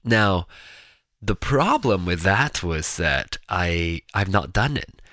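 A man chatting casually in a rambling personal monologue, sounding nasal and congested, with a slightly raspy, gravelly voice. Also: low voice, very animated, slow, sharp tone, dry recording, clean studio sound. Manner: rambling, chatty, with frequent restarts. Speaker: vlogger.

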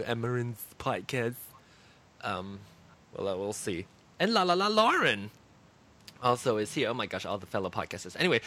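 Casting note: Malaysian-accented male podcast host, lively pitch, bright tone, giggly and campy. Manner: chatty, giggly, campy asides. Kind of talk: casual podcast chat.

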